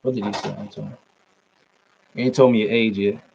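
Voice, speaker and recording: A man's voice: fairly low pitch, fairly even intonation, normal speed, vocal fry, a little mellow, slight background noise. A young man with a British accent chatting casually on a live stream, answering viewer comments in a laid-back way and calling them man and bro. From Norway, raised in the UK. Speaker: fitness streamer. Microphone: laptop mic. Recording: slightly dull lo-fi sound.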